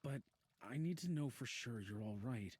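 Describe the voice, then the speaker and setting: A gentle, protective man from a visual novel game. His voice is husky and medium in pitch. He speaks earnestly and with some hesitation, persistent in his concern. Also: animated, normal speed. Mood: worried, tender.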